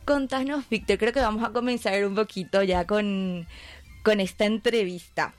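A woman's voice: mid-range voice, normal speed. A woman with a Paraguayan Spanish accent presenting a live radio show and interviewing as its host.

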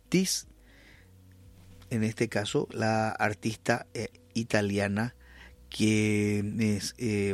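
A man's voice: on the low side, no echo. A man with a Paraguayan accent hosting a talk radio show, chatting with guests and reading news items with frequent fillers. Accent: Paraguayan Spanish. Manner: hesitant with many fillers and restarts, then reading news steadily. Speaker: radio host.

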